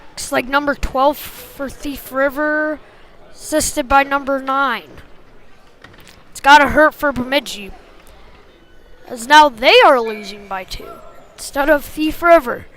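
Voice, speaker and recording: A woman calling a youth hockey game live in short, clipped phrases, getting excitable on big plays. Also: high-pitched, slow, long pauses, low-quality recording. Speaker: play-by-play hockey commentator. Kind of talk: live sports commentary.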